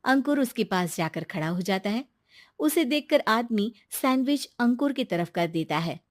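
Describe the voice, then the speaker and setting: Woman, story narrator, calm and even, slightly high voice, dry close recording. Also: normal speed, no room sound.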